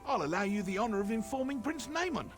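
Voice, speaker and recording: An actor playing a smug, theatrical high elf king in a fantasy video game, arch, droll and regal. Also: big pitch swings, quick pace. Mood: smug amusement. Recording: game music behind the voice. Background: game music.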